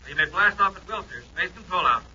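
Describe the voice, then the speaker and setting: An actor playing a crisp, dutiful squadron officer in an old-time radio sci-fi serial, reporting like a military radio call. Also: male voice, high-pitched, animated, brisk, pushed and bright, exaggerated voice. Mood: dutiful, alert. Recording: noisy, muffled old radio broadcast recording.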